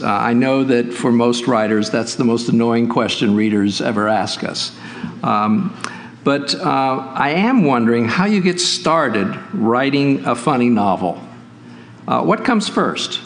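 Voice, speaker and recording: A man's voice: medium pitch, lively intonation, unhurried. A man moderating a book festival author panel, speaking with frequent fillers and restarts. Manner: hesitant, many uh fillers and restarts.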